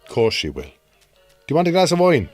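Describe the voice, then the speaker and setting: Breezy Irish farmer husband, jokey, blundering, defensive, lively swooping intonation, radio drama, faint music behind. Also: male voice, on the high side, fast. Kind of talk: naturalistic radio drama acting.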